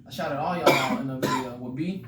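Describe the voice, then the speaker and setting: A man's voice: medium pitch, fairly quick, a loud crowd. A man in his twenties with an American accent, hosting a casual interview in slangy, curse-heavy talk and often repeating his phrases. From United States, born in California, raised in Arizona. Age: 20s. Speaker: podcast host. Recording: roomy, distant mic, clear background noise.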